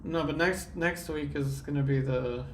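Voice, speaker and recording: Stuffy-nosed guy painting live, kinda high voice, bit of gravel, chill and chatty. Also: moderate pace, slight background noise. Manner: relaxed, chatty, answers viewer comments while working. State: congested from a sinus infection. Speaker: livestream art host. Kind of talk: live painting demo chat.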